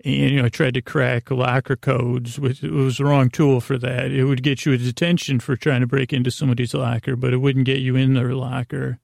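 A man hosting a sleep podcast in a creaky, lulling voice, rambling along in a meandering, halting bedtime monologue that often interrupts itself. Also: mid-range voice, little pitch movement, a little mellow, no echo, clean studio sound. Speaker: podcast host.

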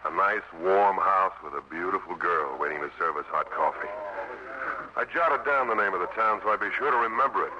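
Man as a low-voiced, wry American GI narrator. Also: lightly acted. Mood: reflective. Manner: measured, wry. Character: thoughtful, dry-witted American GI who narrates the war. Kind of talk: 1950s radio drama narration and dialogue. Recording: noisy, dull 1950s radio recording.

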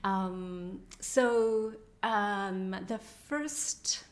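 A woman author answering interview questions in a thoughtful, hesitant way, pausing often with fillers as she searches for words. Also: mid-range voice. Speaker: novelist being interviewed. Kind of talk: reflective conversational interview.

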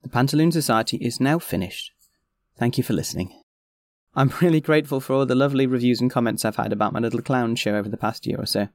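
A man with a northwestern English accent delivers a scripted podcast announcement with playful theatricality. The voice is mid-pitched and gravelly, recorded dry with faint music. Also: quick pace, no echo. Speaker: audio drama creator and host.

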